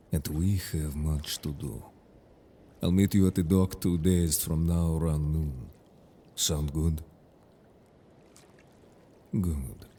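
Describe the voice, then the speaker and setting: A man playing a calm, confident, protective merfolk in an audio roleplay drama, speaking with measured, formal phrasing. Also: a bass voice, a little hiss or hum. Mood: gentle, composed.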